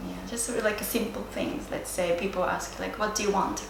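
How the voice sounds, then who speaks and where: A woman from Japan with a Japanese accent, a native Japanese speaker giving reflective, unscripted interview answers, hesitant and searching for words with frequent pauses. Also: medium pitch, steady tone. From Sendai area, Japan. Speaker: interviewee, yoga and Japanese teacher. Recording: roomy echo, clear background noise.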